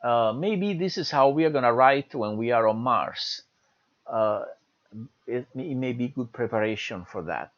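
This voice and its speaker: A man's voice: mid-range voice, expressive, measured pace, fairly bright tone, dull audio. A man with an Italian accent, Italian as his first language, giving an online lecture from home, enthusiastic and encouraging with many fillers. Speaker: university lecturer.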